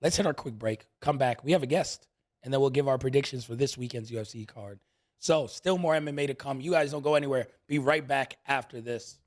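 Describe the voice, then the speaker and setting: A man's voice: medium pitch, brisk. A man from New York with an American accent, hosting a casual sports podcast with slangy, upbeat banter. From New York, USA. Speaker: podcast host. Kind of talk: casual sports talk and interview.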